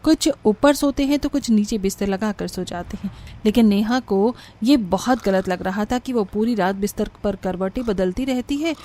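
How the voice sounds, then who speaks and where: A woman's voice: medium pitch, normal speed, mellow. A woman reading scripted story narration as the narrator.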